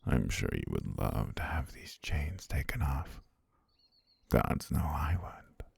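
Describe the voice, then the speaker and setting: A man playing an obsessive, genteel butler holding a princess captive, in an intimate, softly menacing ASMR roleplay with a breathy, gravelly, half-whispered delivery. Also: extremely low pitch, big pitch swings, slow pace, no echo, clean studio sound, heavily acted. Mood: quietly menacing.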